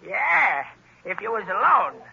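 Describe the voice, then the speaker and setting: A man's voice: high voice, sing-song, measured pace, gravelly, bright, forceful tone, husky, exaggerated voice. A man playing a jumpy, wheedling underling crook in a 1930s radio crime drama, on a noisy, dull old recording. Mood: agitated.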